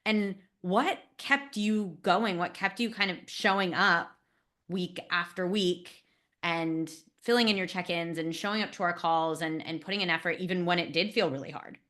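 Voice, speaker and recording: Woman podcast host and coach: supportive, lower pitch, conversational. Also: moderate pace, fairly bright tone. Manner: supportive, encouraging questions.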